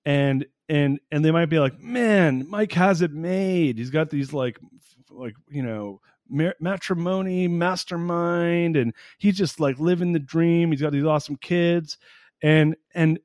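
A man in his forties hosting a podcast interview, talking in an excitable, casual way with frequent swearing and laughter. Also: a little high, a little slow, dry recording, studio quality. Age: 40s. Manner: excitable, profane, laughs often, animated. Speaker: podcast host.

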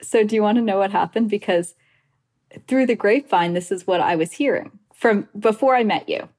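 A woman's voice: mid-range voice, a little fast, a little mellow. A woman hosting a podcast, reading a scripted intro and then chatting casually with her guest. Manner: chatty, retells hearsay with quoted asides. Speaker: podcast host.

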